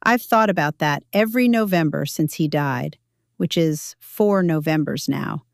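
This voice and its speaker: A woman's voice: deep voice, measured pace, vocal fry, no echo. A woman narrating a scripted first-person story in a dry, wry manner.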